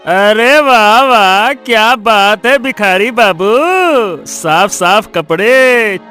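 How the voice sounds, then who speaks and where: A man voicing a haughty, mocking rich village bully in a cartoon story, with a drawn-out drawl. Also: high voice, animated, pushed and bright, smooth, soft background music, full character voice. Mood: sarcastic, mocking. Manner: taunting, drawn-out sarcastic drawl with rustic turns. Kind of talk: animated story voice acting.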